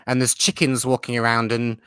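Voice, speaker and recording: A man's voice: medium pitch, completely flat delivery, brisk, fairly bright tone, clean tone, no room sound. A man from Yorkshire with a Yorkshire accent, hosting a casual live-stream chat and answering viewer comments. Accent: Yorkshire English. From Yorkshire, England. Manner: chatty, reads out viewer comments and answers them. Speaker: host.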